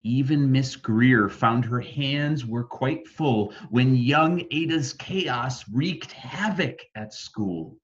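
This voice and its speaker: An American man reading a rhyming children's bedtime story expressively, with dramatic emphasis and deliberate phrasing. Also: mid-range voice, highs cut off. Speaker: storybook reader.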